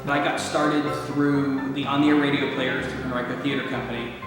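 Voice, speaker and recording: A man's voice: medium pitch, completely flat delivery, normal speed. A man who directs and writes radio plays, telling his story plainly in an interview. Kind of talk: interview talk. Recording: echoey room with music behind.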